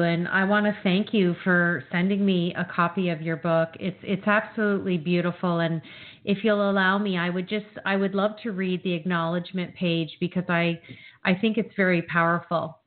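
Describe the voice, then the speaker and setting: Lower-voiced woman host on a phone line. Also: fairly even intonation, normal speed. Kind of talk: interview hosting.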